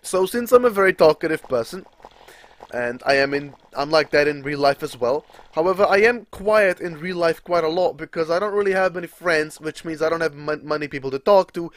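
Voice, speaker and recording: A man's voice: on the high side, animated, a little fast. A young man from the Czech Republic with a Czech accent, rambling casually as he commentates a game, restarting sentences and swearing now and then. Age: young adult. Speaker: gaming commentator.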